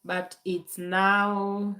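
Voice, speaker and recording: Calm, slow-talking woman on a livestream. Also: mid-range voice, roomy sound. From Kenya. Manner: calm, slow, laughs often, many fillers. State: recovering from a bad cold. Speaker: livestream host. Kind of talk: casual live Q&A chat. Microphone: phone held to camera.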